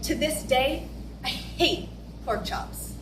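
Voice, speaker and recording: A woman's voice: high voice, slow pace. A young woman performing a recited dramatic monologue on stage in a large hall. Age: teenager or young adult. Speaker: readers theater performer. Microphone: far camera, no visible mic. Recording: roomy, noisy, distant camera recording.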